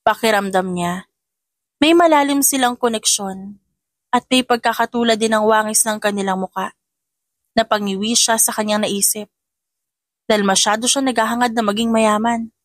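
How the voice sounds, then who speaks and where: A woman's voice: on the high side, steady tone, moderate pace, long pauses, dry recording. Woman narrating a drama, very dry sound. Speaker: story narrator.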